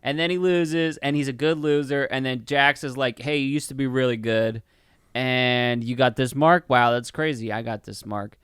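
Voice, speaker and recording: A man's voice: medium pitch, normal speed, fairly bright tone, clear, resonant tone, no room sound, clean studio sound. A man co-hosting a casual film review podcast, rambling and swearing as he acts out mock bits of dialogue. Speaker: podcast co-host.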